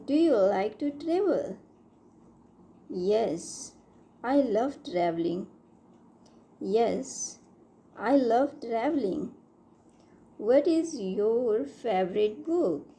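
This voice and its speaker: A woman reading short everyday English phrases for learners, saying each line twice, slowly and clearly. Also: fairly high pitch, animated, dark tone. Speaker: English teacher. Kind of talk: slow repeated phrase drills for learners.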